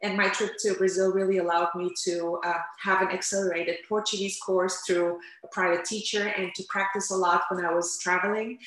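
A woman raised in the Netherlands, answering interview questions in an animated, storytelling way with frequent fillers. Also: mid-range voice, brisk, hardly any pauses. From Turkey, raised in the Netherlands. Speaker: interpreter and language coach guest. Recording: echoey room.

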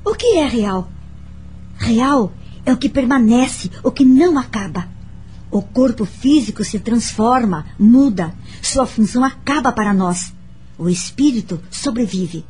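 An actress playing a reflective, forgiving woman telling her story in a radio drama, earnest and measured. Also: on the high side, lively intonation, darkish tone, faint music behind. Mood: serene, earnest. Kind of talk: radio drama acting with narration.

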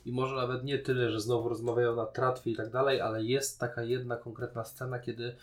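A man's voice: mid-range voice, level tone, a little fast. A man co-hosting a podcast, casually talking through and arguing his point of view. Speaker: podcast co-host reviewing a TV series. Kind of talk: casual discussion.

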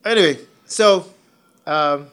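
A man's voice: fairly high pitch, slow, a bit bright, smooth. A man co-hosting a podcast in a casual, teasing, jokey way. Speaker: podcast co-host. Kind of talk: casual chat.

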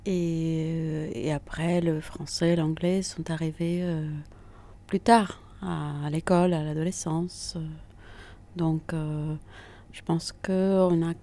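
Slow, gravelly middle-aged woman. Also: deep voice, little pitch movement, dark tone. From Italy. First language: Italian. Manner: thoughtful, unhurried, frequent hesitations. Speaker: interviewee. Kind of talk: reflective personal testimony.

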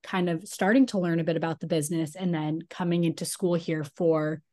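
A woman's voice: on the low side, fairly even intonation, brisk, dark tone. A woman hosting a podcast, reading an introduction and then asking interview questions in an upbeat way. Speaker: podcast host. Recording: faint music behind.

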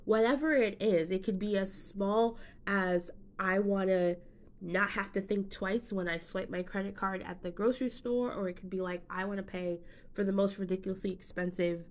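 A woman's voice: medium pitch, fairly even intonation, normal speed. Upbeat woman coaching podcast host. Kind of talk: solo motivational podcast talk.

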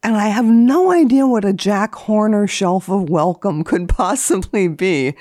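A woman speaking as a guest columnist in a deadpan, theatrical manner, as if giving a dramatic reading. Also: mid-range voice, a little slow, no echo, clean studio sound. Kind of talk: dramatic reading of a book index and deadpan commentary.

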